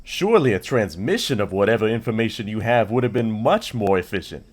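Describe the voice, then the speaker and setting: A man's voice: on the low side, lively intonation, a little fast. A man playing a practical, plain-spoken mercenary in a sci-fi audio drama, with an inquisitive manner. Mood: questioning.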